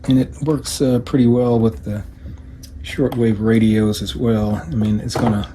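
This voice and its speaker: A man giving a casual, unscripted hands-on product demonstration in a low-key, hesitant manner, as a hobbyist reviewer. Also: little pitch movement, measured pace, background noise.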